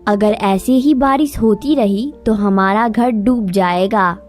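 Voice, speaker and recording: A woman's voice: medium pitch, slow, darkish tone, lightly acted. A woman voicing a worried, innocent little female bird with a pleading manner in a children's cartoon story. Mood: anxious. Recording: music behind the voice.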